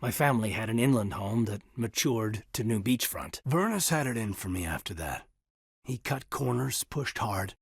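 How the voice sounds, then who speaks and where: Husky, earnest sci-fi military officer. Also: male voice, fairly low pitch, animated, no echo, clean studio sound, heavily acted. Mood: somber, reflective. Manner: hesitant, measured, sincere, deferential. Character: earnest, reserved male military officer in his thirties, sci-fi soldier. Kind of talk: video game character dialogue.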